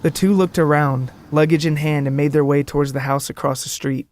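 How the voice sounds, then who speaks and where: A man narrating a scripted comic story in a wordy, deadpan manner. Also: a little high, fairly quick.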